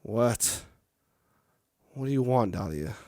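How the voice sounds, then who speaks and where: A man's voice: medium pitch, animated, slow, warm tone, no room sound, clean studio sound. A man voicing a sad, insecure male clown puppet who gets defensive, in a visual novel game. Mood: curt. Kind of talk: visual novel character reading. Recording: music faintly behind the voice. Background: music.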